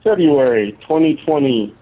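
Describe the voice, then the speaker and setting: A man's voice: on the high side, lively intonation, normal speed, slight background noise. A man reading scripted material aloud, heard over a tinny line.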